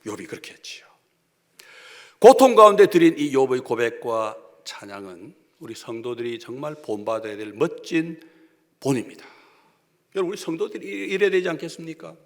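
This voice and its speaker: Korean pastor guy w/ gyeongsang accent, preaching big then dropping quiet, pitch jumps all over, echoey church. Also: lively intonation, normal speed. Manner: emphatic preaching with sharp swings between loud and hushed. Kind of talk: sermon. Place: church sanctuary. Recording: long church hall reverb.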